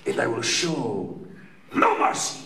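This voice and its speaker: A theatrical masked villain of a comic radio play, menacing and grandiose. He draws out his words slowly and swings wildly in pitch. It comes from a distant mic in an echoey, noisy hall. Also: huge pitch swings, vocal fry, over music, heavily acted. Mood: grandiose menace. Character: ranting masked villain crusading against his enemies. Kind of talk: comic radio play performed live on stage.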